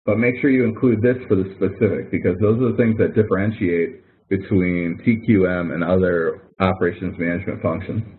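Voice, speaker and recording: Deep-voiced man lecturing over a tinny line. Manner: conversational, explains with examples, self-corrects. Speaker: instructor. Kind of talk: recorded webinar lecture. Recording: tinny phone-like webinar audio.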